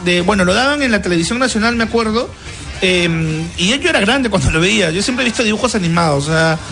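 High-voiced chatty man hosting over music. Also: lively intonation, fast, creaky, bright, forceful tone. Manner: chatty, repeats himself, tags phrases with questions. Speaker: radio show host. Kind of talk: casual chat. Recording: music playing behind the voice. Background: music bed.

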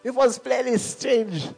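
Man, preacher, high voice, animated, frequent laughter, faint background music. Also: vocal fry, husky. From Zambia. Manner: animated preaching, laughing often between points. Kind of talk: sermon.

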